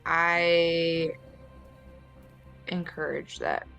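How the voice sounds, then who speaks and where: A woman speaking in a measured, casual way as a player in a tabletop game. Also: on the low side, fairly even intonation, gravelly, fairly bright tone, clean tone, faint music behind, rough recording.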